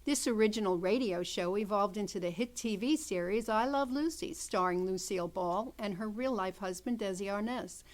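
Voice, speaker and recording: A woman introduces a theater production as its director. Her voice sits at a middle pitch. The delivery is scripted, like a show presenter's. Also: moderate pace, few pauses.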